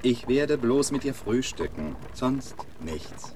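A man playing a cultured, flippant gentleman with a formal, dry manner in an audio drama. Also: mid-range voice, sing-song, slow pace. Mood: defiant, scornful. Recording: crowd and clear background noise.

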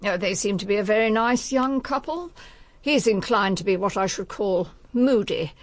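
A woman's voice: mid-range voice, moderate pace, natural voice. A woman playing a genteel, guarded elderly country lady in a radio drama, speaking with emphatic propriety. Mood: composed, genteel. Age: older.

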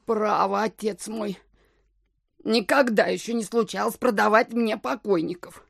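An actress playing a slow-witted, stubborn old widow landowner in an audio drama, with a husky, creaky voice and a hesitant, plaintive, folksy manner. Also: female voice, medium pitch, no echo, studio quality, exaggerated voice. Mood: suspicious, plaintive. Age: older. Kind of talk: classic literary audio drama acting.

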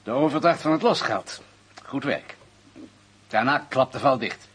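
An actor playing a guarded, bureaucratic intelligence official in a radio drama, speaking formally with a husky voice. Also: male voice, mid-range voice, animated, unhurried, a bit bright, exaggerated voice. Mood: businesslike, guarded. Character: guarded, bureaucratic male senior intelligence official, covering himself. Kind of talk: Dutch radio drama acting.

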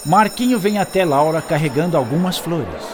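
A man narrating a radio play in a theatrical, animated storytelling manner. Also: a little high, moderate pace, background noise. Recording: music behind.

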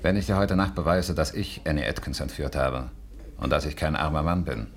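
An actor playing a cocky, pushy small-time gangster in a radio play, gruff and bossy. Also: male voice, rumbling low, fairly even intonation, a little fast. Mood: bossy, insistent. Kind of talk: 1950s German radio play acting.

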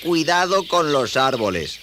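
Man putting on an eager, high-pitched storybook boy. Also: expressive, normal speed, noisy background. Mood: excited, puzzled. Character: eager, kindly, cheerful young boy with magic spider friend.

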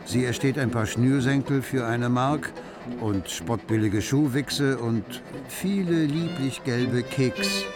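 A man's voice: mid-range voice, slow, natural voice. Articulate actor as a wry male narrator over music. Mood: wry, measured. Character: wry male narrator of a bohemian Berlin tale. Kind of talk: literary radio play narration. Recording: music behind the voice.

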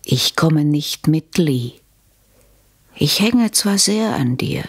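Husky, low-voiced woman a coldly coaxing wife. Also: expressive, slow pace, heavily acted. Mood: hushed, cold. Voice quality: husky, breathy. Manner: soft, coaxing, controlled. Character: cold, calculating wife who soothes sweetly while poisoning her husband. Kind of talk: German radio play acting.

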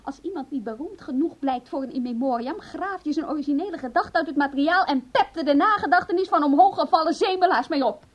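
A woman's voice: sits high, lively intonation, quick pace, few pauses. An actress playing a bitter, frustrated wife who mockingly taunts her husband, in a theatrical Dutch radio play style. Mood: scornful. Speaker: radio drama actor.

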